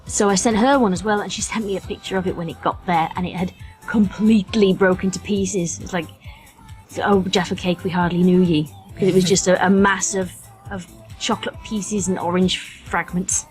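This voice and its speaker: A woman's voice: medium pitch, steady tone, moderate pace. Faint music plays under a British woman's mid-range voice. She talks as a guest on a casual podcast, chatty and informal, poking fun at herself in homely, colloquial phrases. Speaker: featured guest, voice actress.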